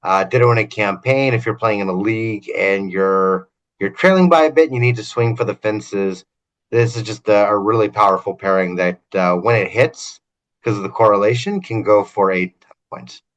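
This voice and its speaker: A man's voice: lowish voice, normal speed, highs cut off. A man co-hosting a fantasy game podcast, explaining strategy in an analytical, talkative way. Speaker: podcast co-host.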